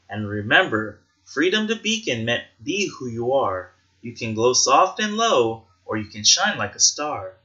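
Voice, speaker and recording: A man reading a rhyming children's picture book aloud with an expressive, sing-song rhythmic delivery. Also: mid-range voice, lo-fi sound.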